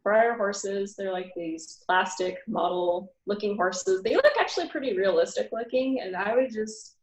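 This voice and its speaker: A woman taking part in a pageant, answering interview questions in a soft, hesitant way with many fillers. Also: medium pitch, moderate pace, echoey room, poor audio. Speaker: pageant contestant.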